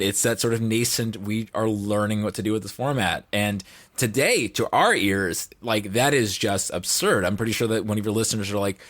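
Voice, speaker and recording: A man's voice: on the low side, a bit bright, no room sound. A man talking casually and emphatically about pop culture as a podcast guest.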